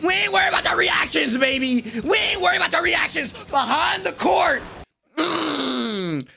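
Highly animated young American man reacting. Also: moderate pace, gravelly, a little hiss or hum. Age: young adult. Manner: animated, slangy, exclamatory. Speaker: reaction video host. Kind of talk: excited live reaction commentary to anime. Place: small room at home. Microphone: close desk microphone with headphones.

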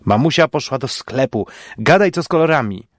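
A man's voice: medium pitch, expressive, fairly quick, no echo, clean studio sound, pitched above the performer's own voice, close to an everyday voice. A man voicing an irritable, arrogant amateur scientist in an audio story, impatient and lecturing.

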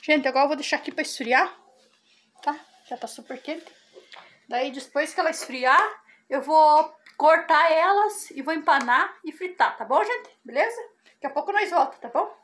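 Chatty high-voiced woman cooking at home. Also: normal speed, a bit bright. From Brazil. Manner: chatty, keeps saying "gente" to viewers. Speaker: cooking vlogger. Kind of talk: casual cooking demonstration. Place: home kitchen on a farm.

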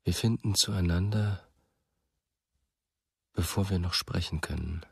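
An actor playing a brooding man who narrates a German radio drama in a husky, measured voice. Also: sits low, no room sound, clean studio sound, exaggerated voice. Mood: brooding, wistful. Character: brooding male narrator, old friend of a missing writer.

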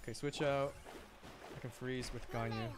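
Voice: mid-range voice, moderate pace, background noise. A streamer giving casual live gameplay commentary, talking to themselves and the game characters, repeating phrases as they react. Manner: animated, reactive. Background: game music.

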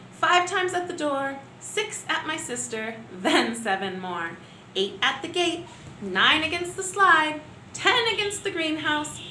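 Lively, bright-voiced young woman teacher. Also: a little high, slight background noise. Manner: expressive sing-song read-aloud, gentle teacherly asides. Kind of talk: reading poems aloud to children. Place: living room at home. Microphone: webcam at close range. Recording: echoey room with faint ambience.

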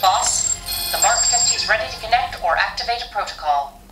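A woman's voice: mid-range voice, lively intonation, moderate pace, lightly acted. A woman voicing a composed, dutiful AI suit assistant, giving clipped, formal status reports. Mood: calm, efficient. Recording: echoey distant toy speaker under loud music.

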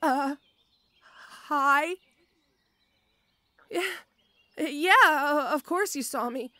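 A woman playing a shy, awkward, muscular tomboy orc schoolgirl in an audio roleplay, halting and stammering timidly. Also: high voice, sharp tone, no echo, exaggerated voice. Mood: apologetic, timid. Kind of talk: roleplay audio acting addressed to the listener.

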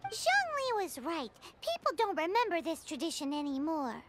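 Squeaky little game fairy, extremely high, swooping, childlike, naive, cheeky. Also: female voice, wildly swooping pitch, normal speed, fairly bright tone, a little hiss or hum, full character voice. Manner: refers to self in third person, childlike.

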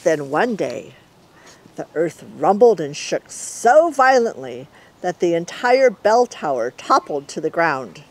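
A middle-aged woman reading a children's story aloud in an expressive storyteller's way. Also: fairly low pitch.

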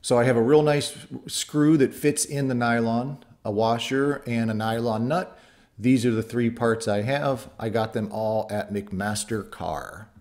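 A man's voice: medium pitch, darkish tone. A middle-aged man narrating his kit airplane build in a home workshop, explaining each step plainly and practically.

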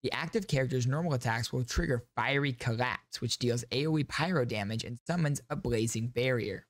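Young man, enthusiastic game commentary, medium pitch, close mic, dry home studio. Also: fairly quick, dry recording. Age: young adult. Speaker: YouTube gaming host. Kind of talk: upbeat game reaction commentary. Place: small home streaming room, indoors. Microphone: close studio microphone on a boom arm.

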